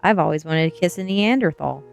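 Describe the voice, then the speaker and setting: A woman's voice: deep voice, fairly quick, creaky, soft background music. A woman voicing a bold, sardonic sixteen-year-old goth girl in an ASMR storybook reading. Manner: sardonic, coaxing.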